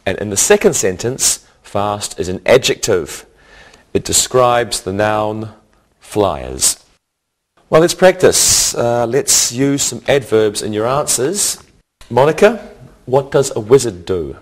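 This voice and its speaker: A man teaching an English grammar lesson in a scripted, deliberate, instructional way, enunciating carefully. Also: medium pitch, big pitch swings.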